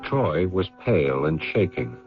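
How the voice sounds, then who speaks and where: An actor playing a calm, reserved brother in an old radio drama, measured and understated. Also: male voice, a bass voice, soft background music, exaggerated voice. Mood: grave. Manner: measured, understated, mild replies. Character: calm, reserved male confidant and narrator, troubled man's brother. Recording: dull old radio recording.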